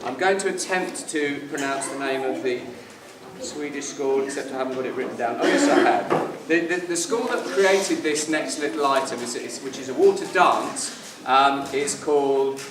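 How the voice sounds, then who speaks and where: A man presenting at an event, reading a children's story aloud to an audience with animated storytelling and then announcing the next items. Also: medium pitch, moderate pace, crowd noise. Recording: echoey hall, distant mic, loud ambient noise.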